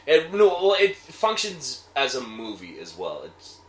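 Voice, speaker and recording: A man co-hosting a casual chat, informal and a bit sweary, quick to correct details. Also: mid-range voice, wildly swooping pitch, fairly bright tone. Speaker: co-host. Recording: roomy echo, background noise.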